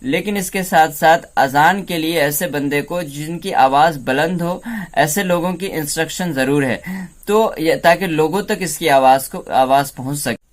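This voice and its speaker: A man speaks in a fairly high register for a male voice. He presents commentary like a teacher, moving through arguments point by point. English terms are mixed into his explanations. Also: normal speed. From India. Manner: didactic, step-by-step explaining with English words mixed in. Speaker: presenter of a political analysis video.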